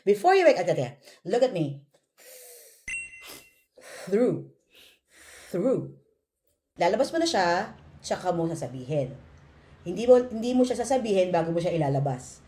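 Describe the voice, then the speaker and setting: A woman's voice: on the low side, very animated, normal speed, pauses often, warm tone. A woman with a Filipino accent teaching pronunciation, giving short instructive prompts and corrections as she drills words.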